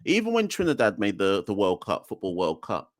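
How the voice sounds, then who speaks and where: A man's voice: fairly low pitch, huge pitch swings, a little fast, no room sound, studio quality. A man from Jamaica hosting a cricket podcast in a casual, emphatic way, with lots of fillers and tag questions. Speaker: podcast host. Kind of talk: casual solo podcast talk.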